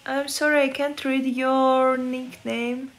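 A woman in her twenties from Russia with a Russian accent, chatting casually with live-stream viewers from her phone at home, softly thanking them and answering questions. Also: high-pitched, level tone, takes it slowly. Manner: soft, friendly, thanking viewers and reading chat. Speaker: livestreamer. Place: indoors, bedroom. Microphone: phone at arm's length.

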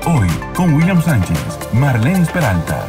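A man reads a scripted announcer intro with a lively, swooping pitch. His voice-over sits over clearly audible background music. The delivery is polished and presented. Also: measured pace. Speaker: show announcer.

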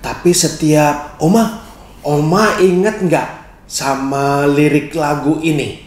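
Higher-voiced man, echoey room. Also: takes it slowly. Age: middle-aged. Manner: expressive, clearly phrased storyteller delivery. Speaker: storyteller and caregiver giving a tutorial. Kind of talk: instructional presentation to camera. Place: small bright living room, indoors, seated on a sofa.

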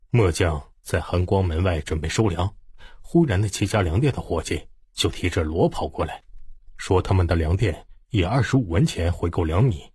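A man's voice: low-pitched, darkish tone, breathy edge, no echo, studio quality. A man voicing a grave, composed imperial guard officer in an audiobook.

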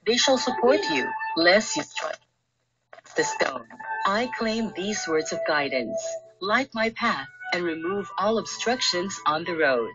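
A woman reading a script as a radio announcer, heard through a radio speaker. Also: fairly low pitch. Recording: muffled radio speaker re-recorded on camera. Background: music.

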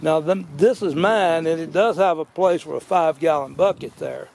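A man's voice: fairly high pitch, big pitch swings, normal speed, a little mellow. An older man giving a rambling, folksy demo in a yard, correcting himself as he goes. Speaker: vlog host. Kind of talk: casual outdoor demo. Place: outdoors on a grassy yard.

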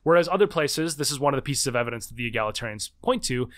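A man in his thirties answers questions on a podcast, his voice sitting at an ordinary middle pitch. He works through his points carefully, hedging and restarting as he goes, with plenty of fillers. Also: lively intonation, very fast, fairly bright tone. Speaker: podcast guest, author. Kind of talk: conversational interview.

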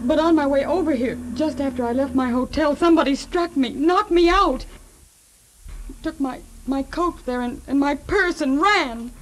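A woman's voice: high voice, normal speed, natural voice, rough recording. An actress playing a polite, shaken young woman in 1940s radio drama style. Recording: old radio recording, faint noise.

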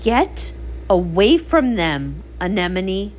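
A woman's voice: mid-range voice, sing-song, slow pace, a little hiss or hum. A woman voicing a haughty, commanding sea pony queen in a comic read-along.

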